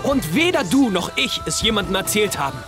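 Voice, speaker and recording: Intense, accused teenage schoolboy. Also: male voice, high voice, big pitch swings, fairly quick. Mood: bitter, accusing. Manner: intense, urgent, slangy. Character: accused, indignant teenage schoolboy, blunt and confrontational. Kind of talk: audio drama acting. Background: music.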